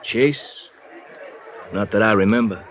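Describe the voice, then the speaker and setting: An actor playing a laconic, world-weary bar worker in a radio drama, evasive and resigned. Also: male voice, fairly low pitch, slow, a faint crowd. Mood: guarded, resigned. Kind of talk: 1950s radio acting. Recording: dull old radio recording, faint music. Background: faint music.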